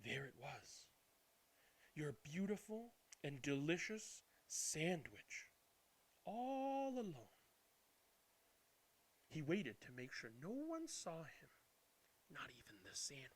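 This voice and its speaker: A man reading a children's picture book aloud as a storyteller, dramatically, with drawn-out words and hushed passages. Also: on the high side, very animated, slightly rough. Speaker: read-aloud storyteller and channel host. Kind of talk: expressive children's picture book read-aloud, then casual chat.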